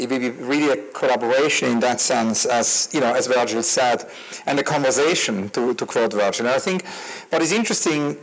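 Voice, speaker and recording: A man curator speaking on a panel, quick and associative with fillers, on a handheld mic at an outdoor garden stage. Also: mid-range voice, rough recording. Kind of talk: panel discussion.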